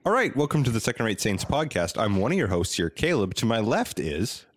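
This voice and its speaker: A man's voice: on the low side, very animated, brisk. A young man hosting a casual podcast with a playful, joking manner. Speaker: podcast host. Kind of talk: casual chat.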